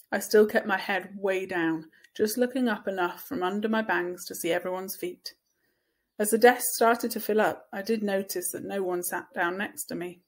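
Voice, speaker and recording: A woman reading a children's novel aloud as first-person scripted narration, like a teacher reading to pupils. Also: medium pitch.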